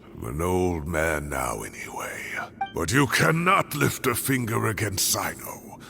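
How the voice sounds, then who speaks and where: An actor playing a grave, weary older professor in a video game, speaking in a halting, deliberate way with dramatic emphasis. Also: male voice, sits low, lively intonation, vocal fry, heavily acted. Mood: defiant, protective. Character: weary old male scholar, grave and protective. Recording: dramatic music behind the voice.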